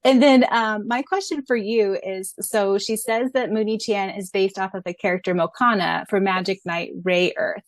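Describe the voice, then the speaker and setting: A woman co-hosting a fan podcast in an informal, expressive, giggly way. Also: medium pitch, moderate pace, hardly any pauses, dry recording. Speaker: podcast co-host. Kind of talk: casual fan podcast chat.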